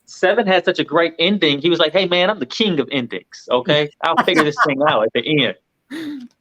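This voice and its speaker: A man's voice: a little high, very animated, fairly quick, pushed and bright, highs cut off. A man on a film panel, chatting casually in a matter-of-fact way.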